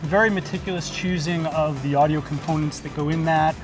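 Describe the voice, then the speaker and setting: A man with a somewhat high voice talks as a product representative in an informal interview. He is agreeable and explains things in detail. Music is clearly heard behind him at the trade show booth. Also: fairly even intonation, brisk. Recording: music playing in the background.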